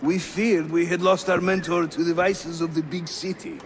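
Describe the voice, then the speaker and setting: A man's voice: a little high, level tone, moderate pace, a little hiss or hum, natural voice. An actor playing a jovial, roguish Ottoman assassin guide in a video game, with a Turkish accent and a theatrical, teasing manner. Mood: teasing, brisk. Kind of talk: video game cutscene acting.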